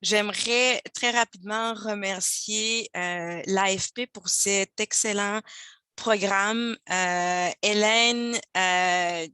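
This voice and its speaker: A native Spanish-speaking woman from Mexico with a Mexican accent, giving an informal testimonial in a halting, enthusiastic way with repeated words. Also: mid-range voice, steady tone, sharp tone, clean tone, no room sound. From Guadalajara, Mexico. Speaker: former mentee giving a testimonial. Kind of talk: informal testimonial in an online talk.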